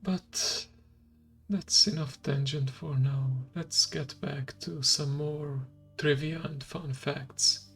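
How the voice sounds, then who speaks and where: A man whose first language is Hungarian, streaming as a VTuber in a soft ASMR style, speaking slowly and quietly and slipping into a whisper. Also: fairly high pitch, steady tone. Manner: slow, hushed and gravelly, drifting into whisper. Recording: faint background music.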